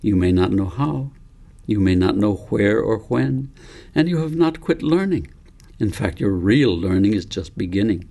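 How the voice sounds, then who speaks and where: A man voicing a wise, adamant male elephant mentor in a storybook, speaking in a firm, instructive, deliberate way. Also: on the low side, animated, vocal fry, warm tone. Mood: encouraging. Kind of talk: storybook character read by the author.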